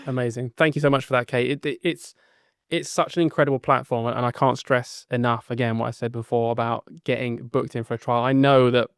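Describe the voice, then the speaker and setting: Fast-talking British man hosting a webinar. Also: mid-range voice, fairly even intonation, clear, resonant tone, dry recording, clean studio sound. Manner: upbeat, thanks people a lot, jokey. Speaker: webinar host. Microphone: video call.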